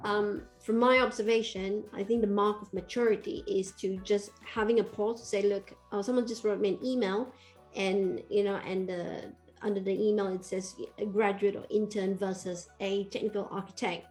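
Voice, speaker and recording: A woman in her forties from Malaysia talks over a video call from a room at home, her voice warm and relaxed. She speaks thoughtfully and reassuringly, like a host drawing out a guest. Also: medium pitch, moderate pace, faint music behind, rough recording. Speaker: podcast host. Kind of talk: casual video-call interview.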